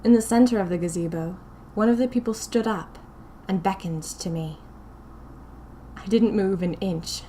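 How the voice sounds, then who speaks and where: A woman narrating a first-person story for a fiction podcast, deliberate and confiding, as if to a diary. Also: medium pitch, dark tone, slight background noise.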